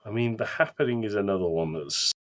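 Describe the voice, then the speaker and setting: A man's voice: fairly low pitch, a little fast. A man co-hosting a podcast, giving emphatic opinions on films in casual chat. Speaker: podcast co-host.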